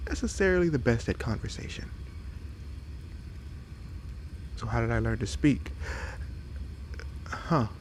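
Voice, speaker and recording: A man voices a friendly forest fox boy in an audio drama, speaking slowly and haltingly with a gravelly creak. He is shy, apologetic and curious, over clear background noise. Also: mid-range voice, darkish tone, rough recording. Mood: curious, puzzled. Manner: hesitant, halting, apologetic, wide-eyed. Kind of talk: roleplay audio drama, addressing the listener.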